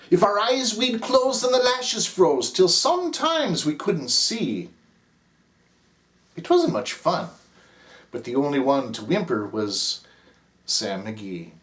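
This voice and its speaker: Irish guy reciting a ballad slow and dramatic, big sweeping pitch. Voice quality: rhythmic, theatrical, sweeping delivery. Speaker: narrator as the character Cap.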